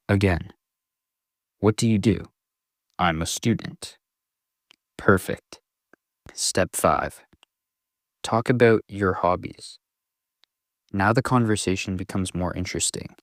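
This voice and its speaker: Slow, low-voiced male English tutor. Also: dry recording, studio quality. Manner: slow, even, clearly spoken for learners. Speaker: English teacher. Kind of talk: scripted lesson narration.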